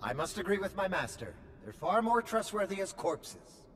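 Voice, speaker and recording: Prissy nervous robot servant for a game, high voice that swoops around. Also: male voice, very animated, moderate pace. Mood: prim agreement. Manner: prim, formal. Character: fussy, anxious, deferential protocol droid servant. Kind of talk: video game voice acting.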